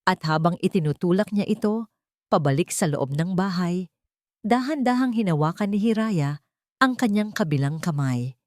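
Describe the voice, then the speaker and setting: A woman storyteller narrating a scripted dramatic audio story in deliberate, sentimental short phrases. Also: lowish voice, lively intonation, darkish tone, no room sound, clean studio sound. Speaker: storyteller and channel host.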